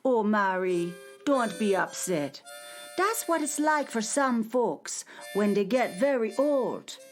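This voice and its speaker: A woman playing a kind caretaker at a home for old stars, speaking in a gentle, soothing way in a children's radio drama. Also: fairly high pitch, animated, takes it slowly, faint music behind.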